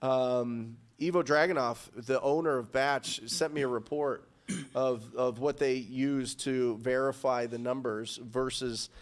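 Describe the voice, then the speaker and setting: A man's voice: medium pitch, unhurried. Upbeat male live-show host. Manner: energetic, upbeat, many restarts. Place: studio with purple backdrop. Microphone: studio mic on boom.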